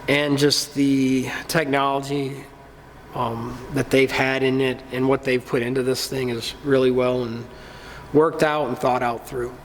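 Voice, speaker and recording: A man's voice: medium pitch, little pitch movement, measured pace, big-room sound, a little hiss or hum, poor audio. A man getting over a cold gives a casual, plain-spoken review of a machine in his workshop, with lots of ums. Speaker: small-business maker reviewing his laser cutter.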